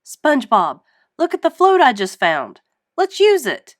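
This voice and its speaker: Woman playing male cartoon starfish, goofy, simple, cheerful, storybook character, very lively pitch. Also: unhurried, full character voice. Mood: excited.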